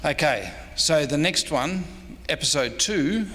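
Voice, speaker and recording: A man's voice: on the high side, lively intonation, slow, a bit bright. A man giving an informal slide-show talk as a presenter at a convention. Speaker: presenter, old-time radio historian. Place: indoor convention presentation room. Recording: reverberant hall, faint noise.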